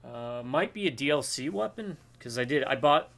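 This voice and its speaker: A man's voice: mid-range voice, animated, moderate pace, gravelly, fairly bright tone. A man chatting casually while playing a co-op game, joking and thinking aloud. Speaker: co-op game player. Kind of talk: casual gameplay chat.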